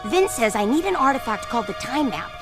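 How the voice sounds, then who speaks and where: A woman's voice: fairly high pitch, very animated, brisk, music in the background. A young woman playing an earnest, encouraging young mechanic in a video game, sincere and youthful. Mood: shy enthusiasm. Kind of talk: animated video game acting.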